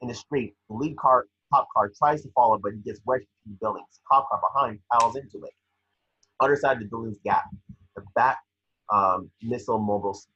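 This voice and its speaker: A man with a husky, raspy voice reading scene descriptions for a screenplay table read in a brisk, vivid storytelling way. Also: lowish voice, pushed and bright. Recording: dull lo-fi call audio.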